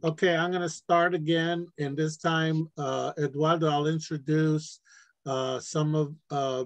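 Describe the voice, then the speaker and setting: A man's voice: on the high side, no echo. Man hosting a video call. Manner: informal, with fillers. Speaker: meeting host. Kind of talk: video call meeting, introducing members. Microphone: computer mic on a video call.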